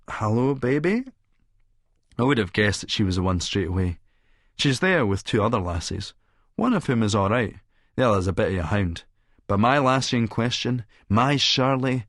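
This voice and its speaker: Casting: male Scottish narrator for a novel, fairly deep, sneering and sardonic, recorded dry. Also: no room sound. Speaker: audiobook narrator. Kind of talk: first-person scripted narration.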